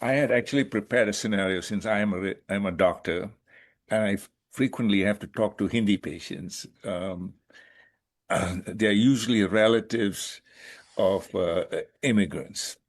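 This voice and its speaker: Deep-voiced man on a video call. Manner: measured, clears throat. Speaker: student and doctor. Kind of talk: class presentation.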